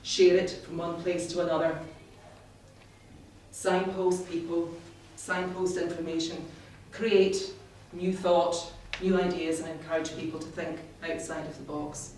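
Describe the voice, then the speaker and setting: A middle-aged woman, giving a prepared speech to a club in a measured, wry, self-deprecating way. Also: on the low side, flat delivery, darkish tone. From Scotland, born in the Highlands. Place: indoor meeting room, in front of an audience. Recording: very echoey room, distant mic, clearly noisy.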